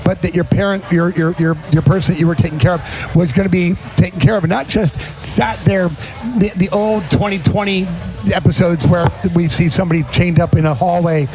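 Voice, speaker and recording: High-pitched man, halting and reflective. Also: steady tone, darkish tone, faint music behind, poor audio. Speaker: podcast host. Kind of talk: casual reflective talk. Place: live podcast at a restaurant.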